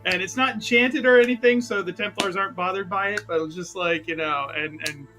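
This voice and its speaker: A man casually improvising narration in a tabletop roleplaying game, halting and repeating his words for emphasis. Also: high voice, over music, low-quality recording.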